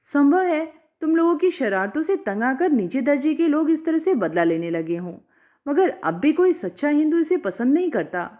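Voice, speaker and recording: Storyteller doing defiant indignant woman, high voice, accusing, very lively, audiobook style. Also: normal speed.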